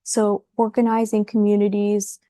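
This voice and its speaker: A woman's voice: medium pitch, monotone, normal speed, dark tone, dry recording. A woman from Ukraine, a guest psychologist in a podcast interview, answering thoughtfully and often repeating words as she starts her sentences.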